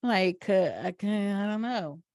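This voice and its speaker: A woman's voice: mid-range voice, lively intonation, measured pace, a little mellow, no room sound, studio quality. A woman from Atlanta with a Southern accent, co-hosting a podcast in casual chat, playful and laughing as she explains with examples. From Atlanta, USA. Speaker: podcast co-host.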